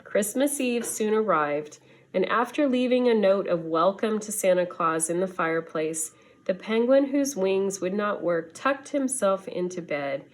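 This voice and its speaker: A woman reading a children's story aloud in an expressive, deliberate teacher's manner. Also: medium pitch. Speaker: schoolteacher reading to students.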